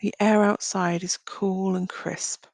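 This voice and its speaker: A woman leading a guided visualisation as a meditation guide, speaking gently in short phrases with long pauses. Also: medium pitch, little pitch movement, gravelly, no room sound. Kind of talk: guided visualisation, slow soothing narration.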